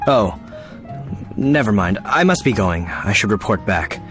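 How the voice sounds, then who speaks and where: A man's voice: fairly low pitch, expressive, unhurried, fairly bright tone. A man voicing an earnest, self-important city knight in a video game, formal, fussy and somewhat flustered. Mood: flustered, polite. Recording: music clearly behind the voice.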